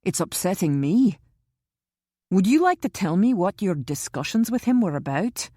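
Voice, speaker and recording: A woman voicing a calm, protective man who speaks in a measured, reassuring and sometimes grimly insistent way in an audiobook. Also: mid-range voice, no echo, clean studio sound. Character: calm, protective older man, steady and wry.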